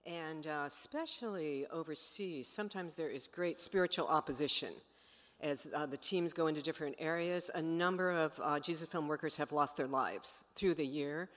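Woman, deep for her sex, audience member, informal spoken comment, long hall reverb. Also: a bit bright. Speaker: audience member, film mission worker. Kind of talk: personal comment and question from the audience.

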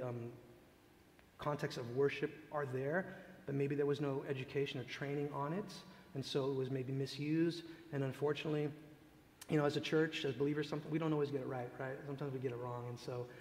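A man's voice: fairly high pitch, darkish tone. Man teaching in an echoey hall. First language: Tagalog. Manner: reflective, halting, searching for words. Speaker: speaker leading a worship teaching session. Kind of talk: informal religious teaching with audience questions. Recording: echoey hall, long reverb, background noise.

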